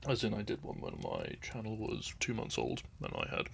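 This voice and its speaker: A man's voice: fairly low pitch, huge pitch swings, moderate pace, vocal fry, fairly bright tone, a little hiss or hum. A young man talking to his listeners in a meandering, unscripted ramble. He laughs often, loses his thread, and sips wine as he goes. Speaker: audio content creator.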